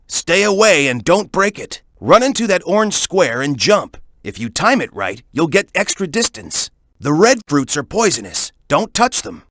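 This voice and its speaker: A man's voice: on the high side, fairly bright tone, dry recording, clean studio sound. A man voicing a cocky, tough young echidna hero in a video game, giving hints in a confident, punchy, instructive manner. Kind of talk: video game voice acting, tutorial hints and banter.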